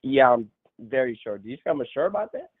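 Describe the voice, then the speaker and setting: A man's voice: medium pitch, sing-song, brisk, breathy edge, no echo. A man calling in to a live show, chatting casually over a thin call connection. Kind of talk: casual chat. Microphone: phone or online call. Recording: thin phone-like call audio.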